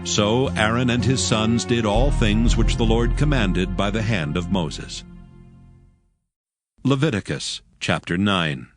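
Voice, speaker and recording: A man reads scripture as narrator, with a steady and solemn delivery. His voice sits low. A clear music bed plays under the reading. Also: no echo.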